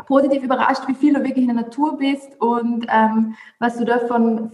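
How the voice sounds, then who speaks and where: A woman hosting a podcast, enthusiastic and asking questions with frequent hesitations. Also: on the high side. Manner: warm and enthusiastic, hesitant "ähm" fillers in questions. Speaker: podcast host. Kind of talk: podcast interview. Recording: roomy echoey sound.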